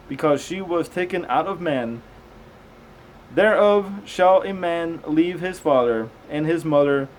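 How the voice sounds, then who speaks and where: Man, slightly high voice, halting amateur reader, scripture with casual commentary, stumbles on tricky words, light background noise. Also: low-quality recording. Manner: casual, conversational asides. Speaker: amateur host reading aloud and commenting.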